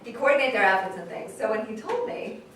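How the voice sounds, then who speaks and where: A woman's voice: medium pitch, sing-song, fast talker, close to an everyday voice. A woman playing a frank, loving, free-spirited mother telling plainspoken stories in a staged reading. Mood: fond, reminiscing. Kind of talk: staged reading, storytelling monologue. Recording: very echoey hall, distant mic, noisy.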